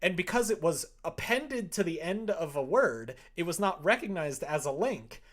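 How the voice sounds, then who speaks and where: A male live streamer with a somewhat high voice chats casually. His pitch swings widely as he tells a rambling story, acting out both sides of exchanges with exasperation. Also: big pitch swings, normal speed. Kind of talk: casual chat, rambling anecdote on a livestream.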